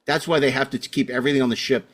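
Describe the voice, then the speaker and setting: A man's voice: mid-range voice, steady tone, rapid-fire. A man hosting a casual panel discussion, arguing his opinions emphatically and often repeating himself. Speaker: podcast host.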